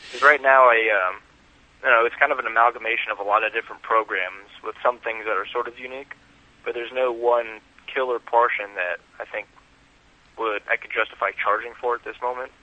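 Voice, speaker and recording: A man's voice: medium pitch, fairly even intonation, brisk. A man giving conversational interview answers as a guest, heard over a lo-fi telephone call. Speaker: interview guest, website founder.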